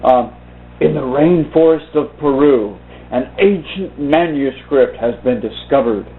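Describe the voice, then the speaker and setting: A man's voice: medium pitch, lively intonation, unhurried, a little hiss or hum. Muffled, phone-like man reading aloud. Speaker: video host reading from a book. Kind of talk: slow reading aloud and informal commentary. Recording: muffled phone-like narrow-band sound.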